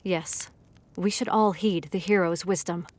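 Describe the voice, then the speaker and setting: A woman's voice: fairly low pitch, steady tone, unhurried, darkish tone. An actress playing a dutiful female army captain in an audio drama, respectful, modest and soldierly in her speech.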